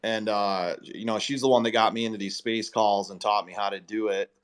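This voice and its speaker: Low-pitched man chatting casually. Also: steady tone, fairly quick, clear, resonant tone. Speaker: host of live investor audio calls. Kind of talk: casual chat in a live group audio call.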